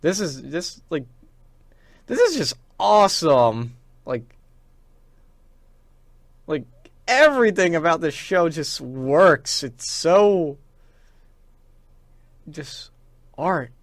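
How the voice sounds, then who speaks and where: A man's voice: on the high side, sing-song, extremely slow, pauses often, dry recording, studio quality. A young man co-hosting a podcast in casual chat, rambling with a fan's enthusiasm. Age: young adult. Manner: enthusiastic fan talk, rambling. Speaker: podcast co-host.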